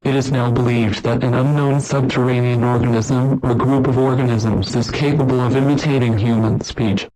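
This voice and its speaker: A male announcer with a mid-range voice reads an emergency alert. His delivery is formal and measured, with an impersonal tone suited to an official scripted broadcast. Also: medium pitch, darkish tone, low-quality recording.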